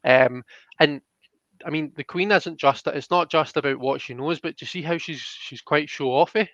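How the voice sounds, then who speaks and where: A man's voice: fairly high pitch, moderate pace, a bit bright, no echo, muffled recording. A man hosting a live tarot reading in a casual, conversational way, checking in with his listeners as he explains.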